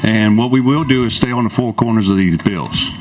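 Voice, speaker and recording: A man's voice: on the low side, brisk, crowd noise, poor audio. A man moderating a formal hearing as chairman, in a clipped manner that repeats itself. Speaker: committee chairman. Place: government committee hearing room. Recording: busy hearing room with people behind.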